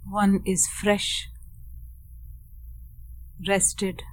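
A woman guides a meditation at a very slow pace. Her pitch is medium, and she speaks deliberately in short, measured phrases, leaving long pauses between them as a teacher would. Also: monotone, slight background noise.

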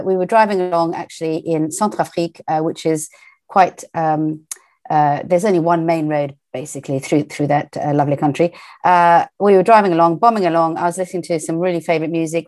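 A woman with a British accent and a low voice tells stories as an interview guest. She talks chattily, with plenty of fillers and laughter. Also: darkish tone. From Portugal. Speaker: guest, entrepreneur. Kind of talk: casual interview storytelling.

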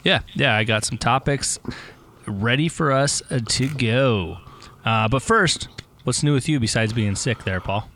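A man co-hosting a casual podcast, still recovering from losing his voice, talking in a relaxed, easygoing way. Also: medium pitch, measured pace. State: voice partly lost, recovering. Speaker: podcast co-host. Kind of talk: casual chat.

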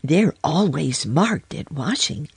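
A woman's voice: deep voice, animated, slow, creaky, husky, no echo, exaggerated voice. A woman voicing a stout, polite, fussy little hedgehog washerwoman in a children's storybook, chatty and deferential. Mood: fussy, eager.

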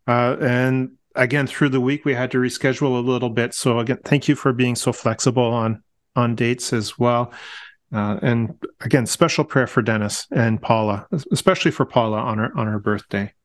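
A man's voice: mid-range voice, steady tone, normal speed. Friendly middle-aged podcast host man. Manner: friendly, restarts words, gentle laughs. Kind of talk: casual interview podcast.

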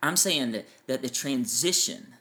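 A man with a loud voice co-hosting a casual parenting podcast, explaining his points with enthusiasm. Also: medium pitch, animated, a little slow. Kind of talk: casual chat. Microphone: farther from mic than co-host.

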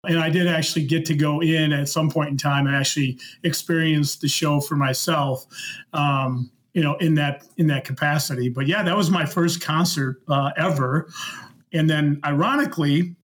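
A man's voice: a little high, fairly even intonation, moderate pace. A middle-aged or older American man telling personal stories casually as a guest, plain-spoken and self-deprecating. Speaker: podcast guest.

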